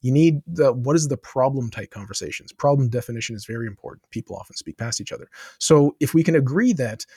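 A man's voice: mid-range voice, lively intonation, quick pace, dark tone, husky, no room sound, studio quality. A man answering as a podcast guest, thinking aloud with many asides and restarts. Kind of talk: conversational interview answers.

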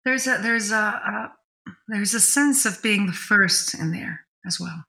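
Bright-voiced woman musing aloud. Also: medium pitch, a little slow, vocal fry. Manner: reflective, restates her points. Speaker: podcast co-host. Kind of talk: spiritual discussion podcast.

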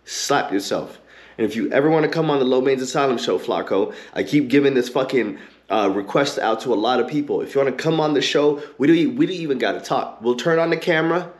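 A man hosting his own podcast, ranting heatedly and cursing a lot, with an occasional stutter and pauses filled with um and like. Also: mid-range voice. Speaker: podcast host. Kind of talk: ranting commentary.

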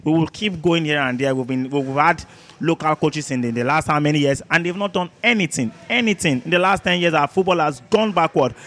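Nigerian guy on a sports podcast, higher-ish voice, argues his point hard, repeats himself. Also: fairly quick, a bit bright. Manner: argumentative, emphatic, repeats words, rhetorical questions. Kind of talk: opinionated sports debate.

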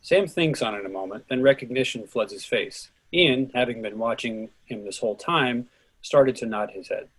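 A man's voice: medium pitch, normal speed, fairly bright tone, breathy edge, low-quality recording. A man narrating scripted stage directions for a play reading.

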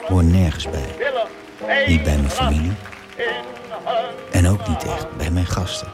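Very deep-voiced, melancholy hotelier. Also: male voice, fairly even intonation, a little slow. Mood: brooding, lonely. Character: melancholy hotelier, caught between family and guests. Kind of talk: Dutch radio drama acting. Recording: loud music and crowd behind.

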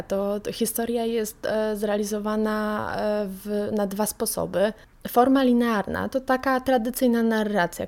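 A woman's voice: medium pitch, gravelly, a little mellow. A woman hosting a radio programme, introducing things in a conversational way. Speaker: radio host and audio drama maker. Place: at home, near a balcony.

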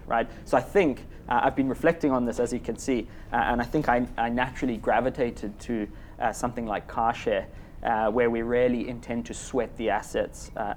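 A man with a Zimbabwean accent talks casually on a live panel, leaning on "uh" between phrases. His voice sits at an ordinary middle pitch. Faint room noise hangs behind him. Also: a little mellow. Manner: chatty, many "uh" fillers, laughs along. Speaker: panel guest, car share manager. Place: workspace event room with small audience.

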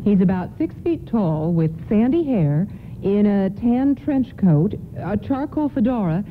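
A woman's voice: medium pitch, sing-song, measured pace. A woman playing a polite, anxious mother of two in a radio play. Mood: worried. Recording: muffled phone-like old radio broadcast, faint noise.

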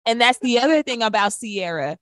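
An American woman, roughly in her thirties, co-hosting a radio show with casual talk about music. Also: a little high, expressive, brisk, fairly bright tone, no room sound.

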